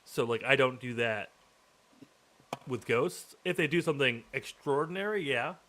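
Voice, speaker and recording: A man's voice: medium pitch, normal speed, a little hiss or hum. A man hosting a podcast after-show, talking casually and rambling with fillers. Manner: relaxed, rambling, many fillers. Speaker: podcast host.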